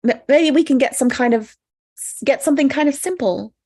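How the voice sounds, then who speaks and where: Higher-pitched, earnest maid of honour Annie, played by a woman. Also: a little fast, darkish tone. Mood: anxious, hopeful. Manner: earnest, hesitant. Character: eager, anxious, modest maid of honour Annie. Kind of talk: screenplay table read.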